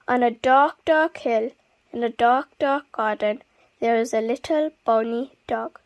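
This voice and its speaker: A woman with a fairly high voice reads a picture book to young children. She takes her time, pacing each phrase slowly and leaning dramatically on repeated words. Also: slight background noise. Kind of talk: expressive read-aloud storytelling for young children.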